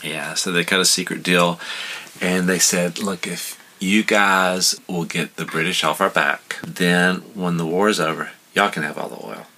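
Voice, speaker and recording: A man, telling history in a relaxed chat, with hesitant restarts and chuckles at his own jokes. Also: sits low, normal speed. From United States. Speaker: podcast guest explaining history. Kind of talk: casual storytelling chat.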